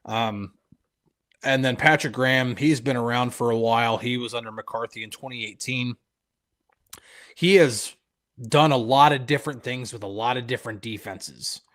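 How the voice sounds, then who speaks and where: A man's voice: mid-range voice, normal speed, fairly bright tone. Easygoing male podcast co-host. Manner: agreeable, easygoing, analytical. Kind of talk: live podcast chat and football analysis.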